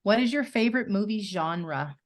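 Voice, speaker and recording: A woman interviewer asking rapid-fire questions in a chatty, upbeat way. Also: medium pitch, expressive. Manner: chatty, upbeat, quick to laugh.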